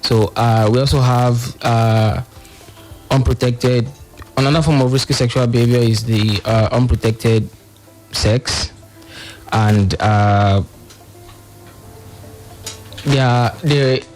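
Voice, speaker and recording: A man's voice: medium pitch, fairly even intonation, darkish tone. Male panel guest, Nigerian accent, mid-range voice, hesitant explanatory delivery, faint music bed. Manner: hesitant, halting, searching for words. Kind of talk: radio panel discussion.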